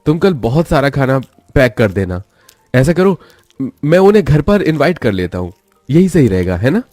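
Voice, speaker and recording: A man's voice: mid-range voice, big pitch swings, moderate pace, a little mellow, no room sound, soft background music, close to an everyday voice. A man voicing a friendly, sociable young husband making eager suggestions in a family story.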